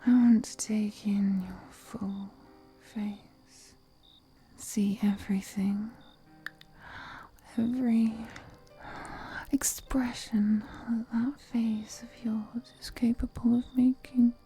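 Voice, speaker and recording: Woman acting a slow, whispering, seductive ancient vampire lady. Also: fairly high pitch, little pitch movement, dark tone, faint music behind. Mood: tender, seductive. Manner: breathy whispering, slow, teasing, formal courtly phrasing. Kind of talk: intimate roleplay audio acting, mostly whispered.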